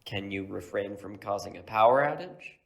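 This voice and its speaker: A man playing a protective superhero mentor in an audio drama, steady and in command as he gives instructions. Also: lowish voice, moderate pace, vocal fry. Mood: calm, instructing.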